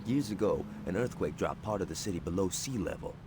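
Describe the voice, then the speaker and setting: Game gang lieutenant: very low, animated delivery, calm planner, over clear vehicle noise. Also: male voice, fairly quick. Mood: matter-of-fact. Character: calm adult male street gang lieutenant planning moves. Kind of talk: video game dialogue acting. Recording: noisy game audio with car sounds.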